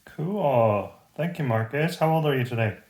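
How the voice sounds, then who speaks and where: A woman's voice: very deep voice, animated, normal speed, darkish tone. A woman chatting casually and encouragingly, as an adult prompting a child who is reading. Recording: roomy echoing home recording.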